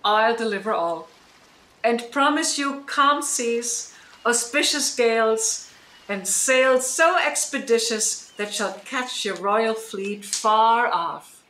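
A woman playing a commanding, grave, aging sorceress in a Shakespearean radio play, speaking measured, declamatory verse. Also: a little high, pushed and bright, a little hiss or hum. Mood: gracious. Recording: roomy online recording.

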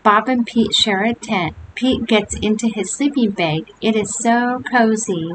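An older woman reading a picture book aloud to young children, with gentle chatty asides. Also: fairly high pitch, measured pace. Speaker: storybook reader. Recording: home recording with steady hiss.

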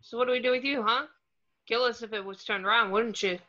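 A man's voice: extremely high pitch, fairly even intonation, fast talker, sharp tone, exaggerated voice. A young man reading a blunt, wry, suspicious teenage wizard boy in an amateur table read. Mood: hard, accusing. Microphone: video call. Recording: muffled video call audio.

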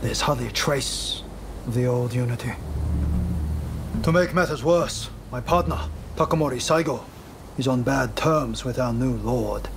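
A man voicing an earnest, composed samurai retainer in a video game, speaking in a measured, formal, solemn way. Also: medium pitch, background noise, natural voice. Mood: solemn, explanatory. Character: earnest, composed male samurai retainer, loyal to his comrade. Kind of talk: dramatic video game voice acting.

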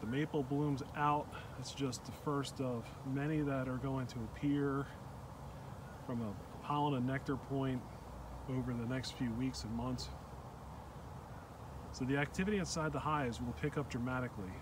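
A man beekeeper calmly narrating a hive check outdoors, filming with a handheld phone. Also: mid-range voice, moderate pace. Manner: calm, matter-of-fact commentary. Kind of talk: casual narration while filming. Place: outdoors among beehives in snowy yard. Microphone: handheld phone camera. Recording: outdoor phone recording with steady background noise.

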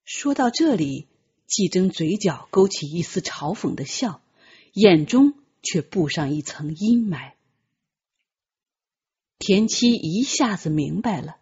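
A woman narrating a novel as an audiobook, with measured, expressive dramatic storytelling. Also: fairly low pitch, husky, lo-fi sound.

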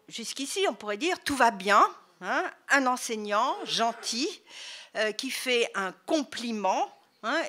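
A middle-aged woman giving an academic lecture at a conference, speaking in a measured way with many hesitation sounds and rhetorical tags. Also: fairly high pitch, bright, forceful tone. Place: lecture hall.

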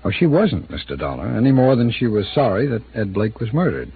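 A man's voice: on the low side, big pitch swings, brisk. An actor playing a guarded, evasive male city attorney who hesitates and hints, in a 1950s radio drama. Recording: tinny lo-fi old radio broadcast with hiss.

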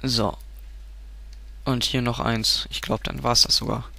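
A man's voice: medium pitch, flat delivery, unhurried, gravelly, pushed and bright. A man with a stuffy cold nose, giving a casual game tutorial, rambling and often correcting himself. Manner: rambling, self-correcting, frequent filler sounds.

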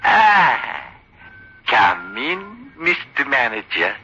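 Slow, sly, living ventriloquist's dummy. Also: male voice, mid-range voice, wildly swooping pitch, sharp tone, heavily acted. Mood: sly, taunting. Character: sinister mocking ventriloquist's dummy come to life. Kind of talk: radio horror drama acting. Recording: noisy, muffled old radio recording.

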